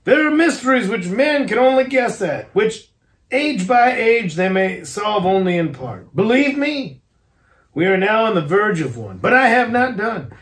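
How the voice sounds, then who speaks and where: A man voicing a grave, solemn old professor who pleads in slow, formal and earnest speech. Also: high-pitched, very animated, fairly bright tone, voice pushed up, exaggerated voice. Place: garage.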